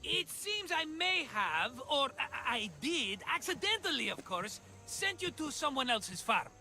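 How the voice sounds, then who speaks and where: A man playing an excitable, scheming merchant in a video game, chatty, dramatic and wheedling. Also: very high-pitched, unhurried, full character voice. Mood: sheepish. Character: excitable scheming cheerful male merchant, always broke. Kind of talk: video game cutscene acting.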